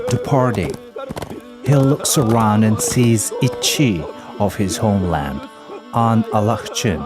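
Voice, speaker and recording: A man narrating a folk epic as scripted storytelling, in a measured, solemn manner. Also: fairly low pitch. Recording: voice-over with loud music behind. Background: loud music.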